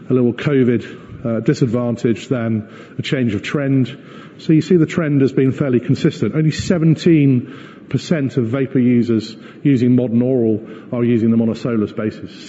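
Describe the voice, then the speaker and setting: A man giving a corporate presentation as a company executive, explaining and arguing his points in a measured way. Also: mid-range voice, dark tone. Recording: muffled echoey hall recording.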